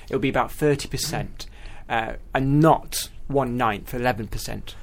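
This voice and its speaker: A man's voice: mid-range voice, moderate pace, gravelly. A man chatting casually as a guest mathematician, explaining things step by step with enthusiasm.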